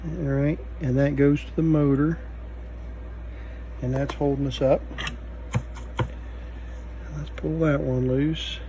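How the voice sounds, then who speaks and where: A man giving unscripted hands-on repair commentary, halting and thinking aloud as he works. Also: a little high, level tone, dark tone, highs cut off. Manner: halting, muttering thoughts aloud while working. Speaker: vintage electronics repair video host. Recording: faint background noise.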